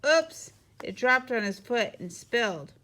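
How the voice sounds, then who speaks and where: A woman narrating a children's picture book expressively, with deliberate phrasing and emphatic sound words. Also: mid-range voice, pushed and bright. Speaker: teacher reading a picture book.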